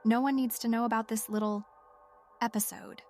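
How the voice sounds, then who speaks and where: A woman's voice: fairly high pitch, fairly even intonation, moderate pace, a little mellow, natural voice. A woman playing an obsessed, frightened scientist confiding intensely and hesitantly in a spoken audio-drama log. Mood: secretive, torn. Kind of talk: dramatic monologue as spoken diary. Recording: music under the voice. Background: music.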